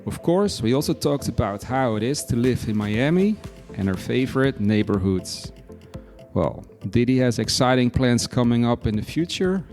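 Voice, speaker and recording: A male podcast host with a gravelly, fry-edged voice introduces his guest in an upbeat way. He often starts with "well" and calls things amazing. His pitch sits in an ordinary middle range. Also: darkish tone.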